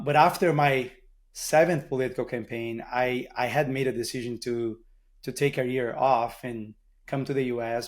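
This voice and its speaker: A middle-aged man from Brazil with a Brazilian Portuguese accent, a guest in an interview, telling his career story in a thoughtful way with frequent restarts. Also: medium pitch. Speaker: guest, marketing executive.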